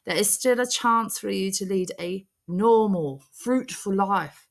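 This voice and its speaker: A woman voicing a patient male doctor from a storybook, gently and earnestly giving advice. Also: mid-range voice, unhurried, gravelly, pitched above the performer's own voice. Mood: earnest consoling. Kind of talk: storybook character voice.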